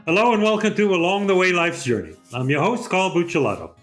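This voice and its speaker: An older man hosting an interview in a measured, conversational way. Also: high voice, very animated, faint music behind. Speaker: host.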